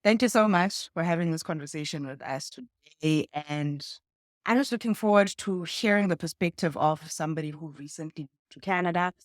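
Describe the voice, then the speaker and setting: A woman's voice: deep voice, a little fast, no room sound, studio quality. A woman with a South African accent, from South Africa, hosting a conversational interview for her video channel. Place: indoors in a home.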